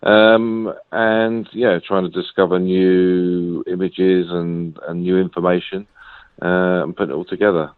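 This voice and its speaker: A man's voice: low voice, level tone, measured pace, clear, resonant tone, no echo. A man being interviewed over the phone as an author and researcher, talking hesitantly with many fillers and repeated words. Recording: tinny phone line.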